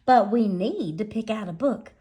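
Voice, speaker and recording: A woman's voice: medium pitch, lively intonation, moderate pace, dark tone, breathy edge. A woman voicing a doting but firm mother cat in a storybook, coaxing and singsong. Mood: coaxing, chiding. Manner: coaxing, singsong, pet names.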